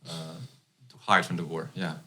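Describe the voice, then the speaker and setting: A man in his thirties from Bosnia, a non-native English speaker whose first language is Croatian, talking haltingly as a podcast guest with many fillers. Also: rumbling low, little pitch movement, sharp tone. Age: 30s. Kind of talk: casual interview chat.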